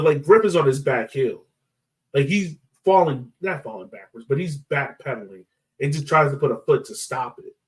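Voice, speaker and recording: Slightly distant man talking sports casually. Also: mid-range voice. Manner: repeats and rephrases himself as he thinks aloud. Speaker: sports podcast co-host. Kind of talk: casual soccer match discussion.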